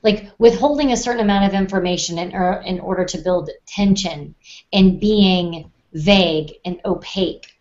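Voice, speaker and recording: A woman's voice: on the low side, moderate pace, darkish tone, dull audio. A woman co-hosting a podcast, chatting casually about books and writing. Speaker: podcast co-host. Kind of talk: casual book discussion.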